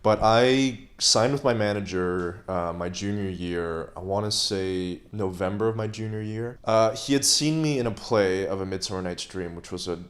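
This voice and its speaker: A young man who grew up in Hong Kong, an actor talking as an interview guest, measured and reflective, trailing off at the ends of thoughts. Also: lowish voice. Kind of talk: casual interview conversation.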